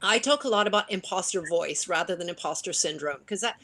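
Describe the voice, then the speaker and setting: A woman coach speaking in an interview over a video call, animated and laughing, with many restarts. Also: fairly low pitch, brisk. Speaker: business coach. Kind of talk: interview conversation.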